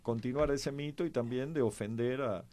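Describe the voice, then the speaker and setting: A man's voice: mid-range voice, fairly quick, crowd noise. An Argentine man discussing history conversationally, hesitating often as he searches for his words. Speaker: history programme panelist.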